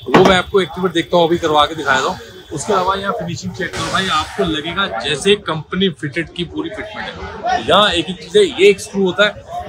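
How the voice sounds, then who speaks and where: A man selling car accessories in his shop, talking the viewer through each fitted part with a pushy salesman's pitch and calling them brother. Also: low-quality recording. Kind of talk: sales pitch walkthrough video.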